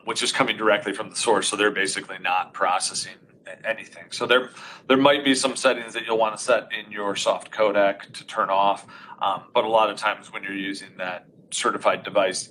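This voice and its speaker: A man with a loud voice giving a live technical demo of conferencing equipment in a conference room, explaining each step. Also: on the low side, little pitch movement, brisk, a little hiss or hum, rough recording. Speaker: audio installer demonstrating conferencing setup.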